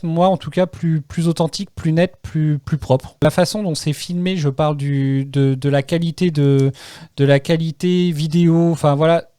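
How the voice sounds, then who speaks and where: A man hosting a casual group podcast, repeating himself and telling things in an animated, hesitant way. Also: fairly high pitch, no echo, clean studio sound. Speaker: podcast host.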